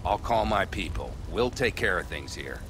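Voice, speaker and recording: A man's voice: low voice, lively intonation, normal speed, background noise. An actor playing a practical, level-headed male nomad clansman in a video game, speaking in a terse, matter-of-fact way. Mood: practical, wary. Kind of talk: cinematic video game acting.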